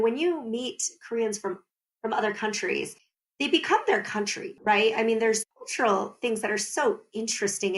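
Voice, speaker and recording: A woman, a podcast guest, talking animatedly, explaining at length and often breaking into laughter. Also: on the high side. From United States. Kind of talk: conversational podcast interview.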